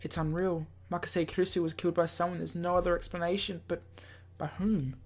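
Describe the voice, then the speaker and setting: A man's voice: high voice, flat delivery, fairly quick. A man reading a visual novel aloud in a theatrical, slightly stumbling way, as host of a gaming video. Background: game music.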